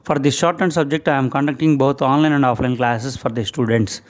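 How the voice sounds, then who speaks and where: A man with an Indian English accent, a shorthand instructor reading a news passage aloud in short, measured phrases for dictation practice. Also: mid-range voice, steady tone.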